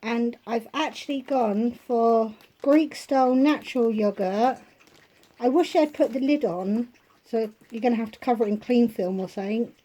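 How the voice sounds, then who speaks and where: A woman vlogger at home, chatting casually through a shopping haul and listing prices, her voice going hoarse. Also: fairly high pitch, dark tone, rough recording. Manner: chatty, unhurried, itemizing prices.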